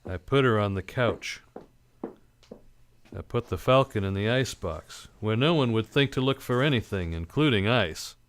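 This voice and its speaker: Man playing a low-voiced, deadpan hard-boiled detective. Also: normal speed, clean tone, lightly acted. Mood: wry, weary. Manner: dry, terse, deadpan. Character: hard-boiled male private detective, wry and weary. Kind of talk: old-time radio drama, first-person noir narration.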